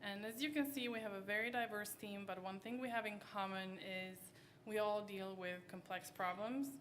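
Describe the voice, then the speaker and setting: A soft-spoken woman gives a startup pitch at an event, hesitating often between words. Her voice sits at a middling pitch, and faint room ambience hums behind her. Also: fairly even intonation, normal speed, slight background noise. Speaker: startup team member pitching. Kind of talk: presentation pitch. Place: event hall.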